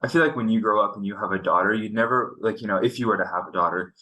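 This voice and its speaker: Low-voiced young man, earnest and halting. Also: bright, forceful tone, rough recording. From Pakistan. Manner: earnest and frustrated, with many hesitant restarts. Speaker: podcast host. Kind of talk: casual conversation.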